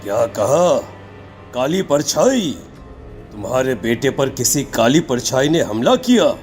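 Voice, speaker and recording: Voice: medium pitch, sing-song, slow, darkish tone, faint music behind. A performer voicing a stern male village tantric who speaks in an ominous, accusing way in a story.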